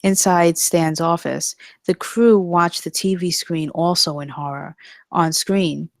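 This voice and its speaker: A woman's voice: fairly low pitch, fairly even intonation, takes it slowly, gravelly, no echo, clean studio sound. A woman narrating scripted stage directions at a live comedy table read.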